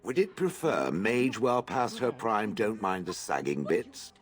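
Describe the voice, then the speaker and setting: A man voicing a deadpan, dryly mocking stone golem in a video game, speaking with formal detachment. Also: fairly low pitch, unhurried, music in the background. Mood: dry curiosity. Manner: formal, detached, dry wording.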